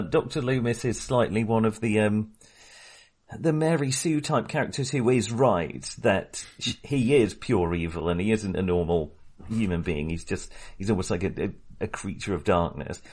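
Male co-host for relaxed film talk: expressive, varied intonation, faintly rough voice, wry and a bit hesitant. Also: medium pitch. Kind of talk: casual film discussion.

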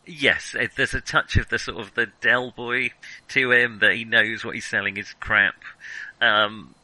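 A man's voice: on the low side, fairly even intonation, measured pace, pushed and bright, no echo, low-quality recording. A man co-hosting a film discussion podcast, speaking casually and opinionatedly, often stopping to rethink and correct himself. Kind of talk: casual film criticism chat.

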